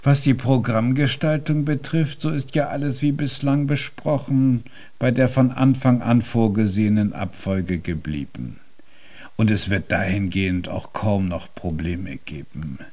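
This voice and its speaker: An actor in a German radio drama playing a stubborn, imperious Viennese composer-pianist, with a formal, deliberate and pompous delivery. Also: male voice, on the low side, warm tone, close to an everyday voice. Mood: formal, decided.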